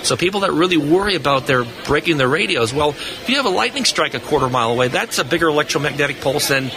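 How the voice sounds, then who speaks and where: A man's voice: a little high, lively intonation, fast, a crowd in the background. An older man co-hosting a live radio show, telling jokey stories and explaining radio lore in a casual chat. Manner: jokey storyteller. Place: convention floor booth. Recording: noisy live convention floor.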